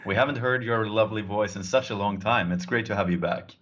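A man's voice: on the low side, a little fast, fairly bright tone. A man co-hosting a casual hockey podcast chat in an easygoing, friendly way, heard over a call. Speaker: podcast co-host. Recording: dull lo-fi call audio.

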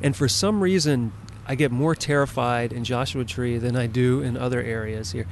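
A man from the American South hosting a podcast, asking relaxed, curious questions. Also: mid-range voice, normal speed, gravelly, slight background noise. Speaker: podcast host. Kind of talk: casual interview conversation.

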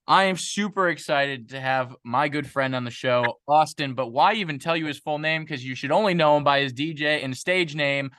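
A man's voice: on the high side, moderate pace, hardly any pauses, a little hiss or hum. A man co-hosting a podcast, telling stories in casual chat and acting out what people said. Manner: animated storyteller, acts out quotes. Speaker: podcast co-host.

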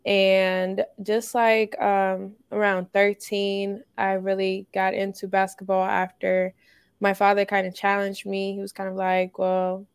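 Gravelly, smooth-voiced young woman. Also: medium pitch, monotone, unhurried, dry recording. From Finland. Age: young adult. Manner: hesitant, many ums, soft laughs. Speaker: college basketball player guest. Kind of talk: casual interview answers.

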